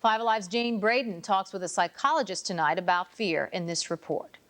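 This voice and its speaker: A woman's voice: mid-range voice, normal speed, fairly bright tone. An American woman reading broadcast news as a television anchor.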